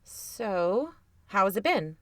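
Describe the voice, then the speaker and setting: A woman's voice: medium pitch, very animated, slow, no room sound. An actress playing a casual, pragmatic investigator in a naturalistic fiction podcast, questioning her colleagues conversationally.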